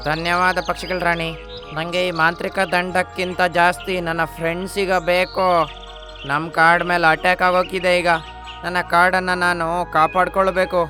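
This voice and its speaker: A man's voice: sits high, flat delivery, measured pace, sharp tone, faint music behind. A man voicing a brave, good-hearted little parrot who speaks earnestly in a cartoon story.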